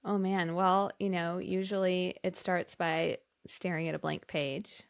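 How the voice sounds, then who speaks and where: A woman's voice: on the low side, fairly even intonation, unhurried, gravelly, clear, resonant tone. A woman co-hosting a podcast, talking in a casual, enthusiastic and effusive way. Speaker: podcast co-host. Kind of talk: casual conversational discussion.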